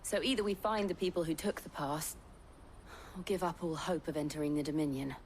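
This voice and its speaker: An actress plays a perceptive female adventurer in a fantasy game. Her voice is slightly low, composed and direct, with faint ambient noise behind it. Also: fairly even intonation, fairly quick, a little mellow, lightly acted. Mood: calm, curious.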